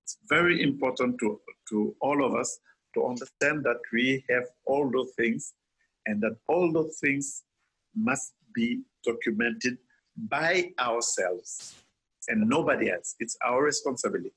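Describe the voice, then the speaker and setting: A man's voice: medium pitch, a little slow, fairly bright tone, low-quality recording. A man from Senegal, an architect, answering interview questions earnestly, with frequent restarts and repeated words.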